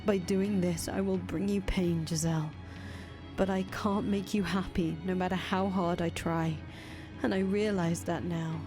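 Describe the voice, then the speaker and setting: A woman voicing a soft-spoken young man condemned as a heretic, talking quietly in breathy whispers. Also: fairly low pitch, steady tone, unhurried, over music, lightly acted. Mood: tender. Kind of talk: audio drama acting.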